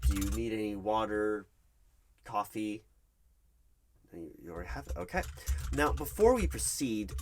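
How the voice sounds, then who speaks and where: Man acting a low-voiced, nervous hiring manager. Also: a little hiss or hum. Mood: nervous, polite. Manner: hesitant, formal, wordy corporate phrasing. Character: nervous, polite male corporate hiring manager conducting an interview. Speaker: roleplay performer. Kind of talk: one-sided ASMR roleplay of a job interview.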